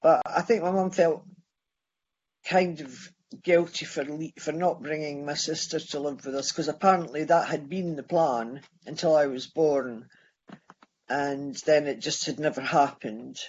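A Scottish man in his sixties sharing his life story at a recovery meeting, halting and restarting as he goes. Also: a little high, steady tone, breathy edge, muffled recording. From Scotland. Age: 60s. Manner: halting, with many restarts and pauses. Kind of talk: personal testimony.

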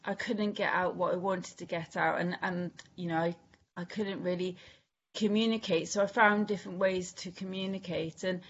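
A Welsh woman from Wales speaking candidly at a recovery meeting, halting with many restarts and fillers. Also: on the low side, fairly even intonation, breathy edge, highs cut off. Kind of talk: personal share at a recovery meeting.

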